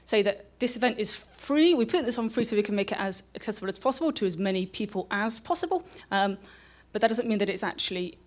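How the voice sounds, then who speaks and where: A woman speaks as the presenter of a public lecture. Her delivery is informal and halting, often breaking off to rephrase. She talks into an event microphone in a live setting. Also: mid-range voice, animated. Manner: informal, halting, self-interrupting. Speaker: public programs manager and lecturer. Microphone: microphone for live streaming.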